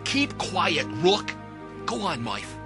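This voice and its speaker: An actor playing a kindly, folksy peacemaker in a comic radio drama, placating in manner. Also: male voice, sits high, huge pitch swings, takes it slowly, over music, exaggerated voice. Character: folksy male peacemaker, a kind soul.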